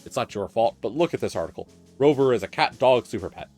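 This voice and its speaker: A man's voice: mid-range voice, lively intonation, fast talker, a little mellow, faint music behind, lightly acted. A man voicing an earnest, exasperated dog politician in a visual novel, indignant and complaining.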